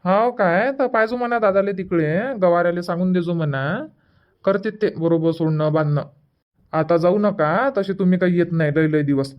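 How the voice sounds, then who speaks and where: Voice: medium pitch, moderate pace, muffled recording, close to an everyday voice. A voice actor playing a sarcastic village husband and dutiful son in a cartoon comedy, speaking insistent, emphatic rural Vidarbha Marathi. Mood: persuading. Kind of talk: cartoon comedy dub dialogue.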